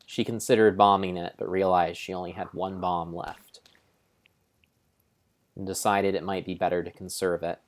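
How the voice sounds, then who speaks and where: A man's voice: low voice, level tone, normal speed. A man giving a solemn, theatrical mock-dramatic narration of a video game, as if reading a grand storybook. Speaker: streamer narrating his game play.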